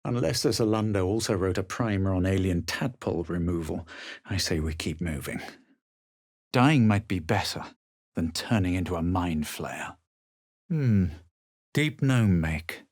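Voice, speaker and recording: An actor playing a haughty, coolly superior fantasy adventurer in a video game, with a husky voice and deliberate delivery. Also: male voice, deep voice, animated, darkish tone, no echo, studio quality, heavily acted. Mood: haughty, composed. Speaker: video game player character. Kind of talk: scripted game voice lines, narration and remarks.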